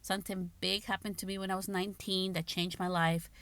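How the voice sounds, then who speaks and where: A woman's voice: medium pitch, flat delivery, brisk, a bit bright. A woman in her late thirties with a Guatemalan Spanish accent, hosting a podcast and talking candidly in casual, swear-heavy chat. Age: 30s. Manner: candid, frequent swearing and filler likes. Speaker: podcast host. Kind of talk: casual personal talk.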